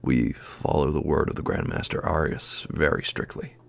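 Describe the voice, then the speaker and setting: A man playing a solemn, formal guardian of mystical obelisks in a fantasy audio roleplay, with a gravelly, breathy, measured voice. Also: rumbling low, animated, full character voice.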